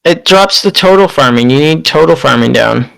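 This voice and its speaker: A man's voice: a little high, animated, moderate pace, gravelly, a bit bright, rough recording. A man chatting casually as a player during a party game. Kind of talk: casual game chat with some acted lines.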